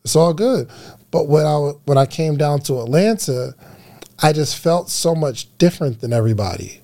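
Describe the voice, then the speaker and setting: A man from New Jersey with a New Jersey accent, telling stories as a podcast guest in a relaxed way with many restarts. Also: on the high side, normal speed. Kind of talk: casual podcast conversation.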